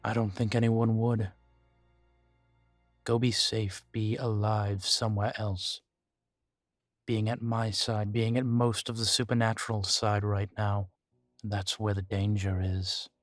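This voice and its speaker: A man playing an ancient vampire in an audio roleplay, guarded, weary and grave, speaking in a measured, deliberate way with dramatic pauses. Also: on the low side, flat delivery, no room sound, faint music behind. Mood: grave, resigned. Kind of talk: scripted audio roleplay addressed to the listener.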